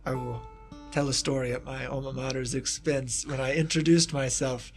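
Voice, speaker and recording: Kentucky man as interview guest, mid pitch, thoughtful and rambling, faint music behind. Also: flat delivery, normal speed. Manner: thoughtful, rambling with asides and restarts. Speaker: guest researcher, historian of East Asia. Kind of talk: casual reflective interview talk.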